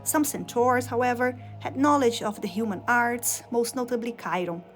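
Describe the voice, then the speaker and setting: A woman whose native language is Portuguese, narrating game lore in a measured, scripted storytelling style, phrase by phrase. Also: on the high side, soft background music.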